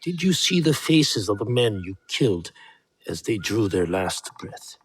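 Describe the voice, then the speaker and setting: An actor playing a grave, gentle middle-aged father and martial mentor in a video game, measured and instructive. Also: lowish voice, sing-song, breathy edge, exaggerated voice. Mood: calm, instructive. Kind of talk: dramatic video game cutscene acting.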